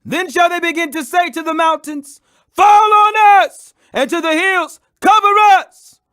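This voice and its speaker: A man voicing a condemned holy man, grave and compassionate, preaching loudly and fervently in a scripture audiobook. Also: very high-pitched, unhurried, voice pushed up. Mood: urgent warning.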